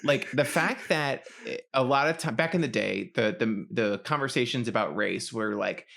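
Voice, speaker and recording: A young man co-hosting a podcast in casual chat, laughing easily and explaining in a relaxed way. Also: medium pitch, moderate pace, creaky. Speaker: podcast co-host.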